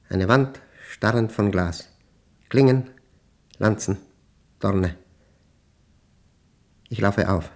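Haunted professor, low voice, very slow, precise fragmented phrases, radio drama monologue. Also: male voice. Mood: tense, haunted. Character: troubled methodical male professor recording his nightmares as a diary. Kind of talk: German radio play monologue.

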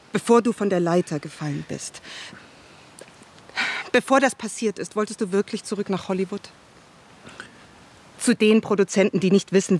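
A woman's voice: mid-range voice, a little mellow, lightly acted. An actress playing a skeptical, ironic wife who questions her husband's story in a radio drama. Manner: skeptical, probing, sometimes whispering.

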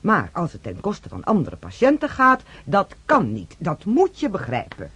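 An actress playing a firm, admonishing but concerned head nurse in a radio play, speaking with a husky voice. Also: female voice, lowish voice, huge pitch swings, moderate pace, fairly bright tone, no room sound, exaggerated voice. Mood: stern, reproving. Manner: stern, admonishing.

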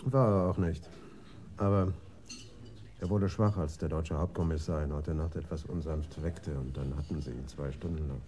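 Very dark-voiced, courteous policeman. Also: a bass voice, steady tone, moderate pace. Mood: reporting calmly. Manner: polite, formal reporting. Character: courteous male Amsterdam police officer, deferential, reporting. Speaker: radio drama actor. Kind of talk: German radio crime drama acting.

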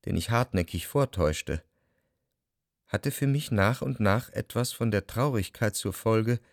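An actor playing a brooding, introspective narrator, reading in measured, reflective for a literary radio drama. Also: male voice, fairly low pitch, lively intonation, gravelly, no echo, clean studio sound. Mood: reflective, melancholy. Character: brooding, introspective male narrator recalling a troubled love. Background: music.

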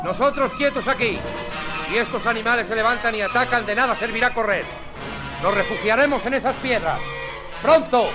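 An actor playing a brave, cool-headed space commander, authoritative and decisive, in a 1950s radio serial. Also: male voice, extremely high pitch, a little fast. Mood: urgent, commanding. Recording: vintage 1950s radio, music underneath.

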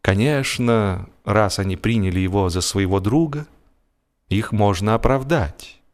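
A man reads a humorous story as an audiobook narrator, in an expressive, animated storytelling manner. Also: lowish voice, measured pace.

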